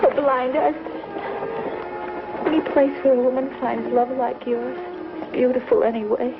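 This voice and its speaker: A young pioneer wife in an old TV western. Her voice is high and full of feeling, with a headstrong, theatrical edge. The soundtrack is muffled, with music behind her. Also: level tone, moderate pace. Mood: tender, moved. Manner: emotional, theatrical delivery. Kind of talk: 1950s television western acting.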